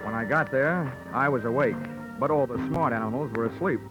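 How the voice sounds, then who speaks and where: An actor playing a wry, hard-boiled insurance investigator with an American accent and deadpan wit, in 1940s radio detective drama style. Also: male voice, medium pitch, brisk, music in the background, natural voice, rough recording. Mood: wry narration. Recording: old 1940s radio broadcast recording.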